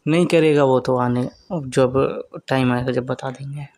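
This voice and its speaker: A man's voice: medium pitch, steady tone, a little slow, a little mellow. Young man teasing on a private call.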